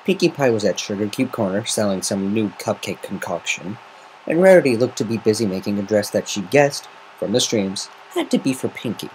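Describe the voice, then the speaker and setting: A man with a lowish voice narrates a story in a measured, expressive way. It is an amateur semi-dramatic reading, recorded at home with some faint noise behind it. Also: poor audio. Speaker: amateur reader of fan fiction.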